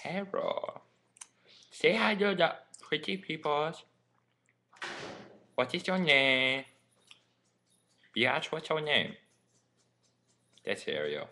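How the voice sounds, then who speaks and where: A man's voice: a little high, sing-song, slow pace, long pauses, bright, forceful tone, slight background noise. A teenage boy joking around on a laptop webcam in his home kitchen, silly and playful. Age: teens. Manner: silly, joking, puts on voices. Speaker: vlogger. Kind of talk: goofy casual webcam chat. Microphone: built-in laptop webcam mic.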